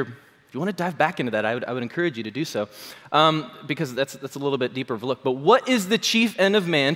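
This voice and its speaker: A male pastor with a hoarse voice delivers a casual, rambling sermon, dotted with "um" and "like". His voice sits a bit high for a man. Long reverb from the church hall trails behind every line. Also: fairly bright tone. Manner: chatty, self-deprecating, full of fillers.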